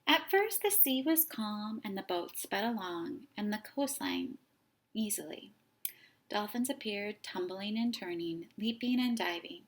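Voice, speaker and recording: A woman reading a children's picture book aloud, with dramatic, deliberate phrasing as a storytime host. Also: mid-range voice, animated. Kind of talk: expressive children's story narration.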